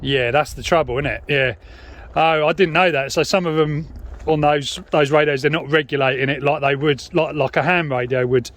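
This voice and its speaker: Higher-voiced British man chatting outdoors. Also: fairly even intonation, normal speed, a bit bright, a little hiss or hum. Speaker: radio hobbyist and vlogger. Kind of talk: casual chat over CB radio. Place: outdoors on a dog walk.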